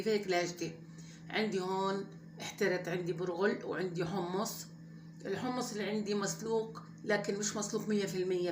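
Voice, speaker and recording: Woman rambling to her viewers while she cooks, echoey kitchen, noisy behind. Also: medium pitch. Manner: chatty and rambling, talks straight to viewers. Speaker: home cooking channel host. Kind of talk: casual cooking vlog chat. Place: home kitchen at the stove.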